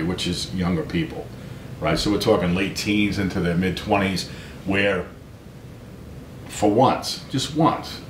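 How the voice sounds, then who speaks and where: A man talking as an interview guest, earnest and emphatic, often checking in with a questioning tag. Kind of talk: conversational interview. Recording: roomy echo, low rumbling background noise.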